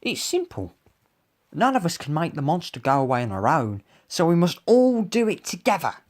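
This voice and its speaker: A narrator voicing a small, polite, squeaky animal in a storybook. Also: big pitch swings, moderate pace, lightly acted. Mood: eager.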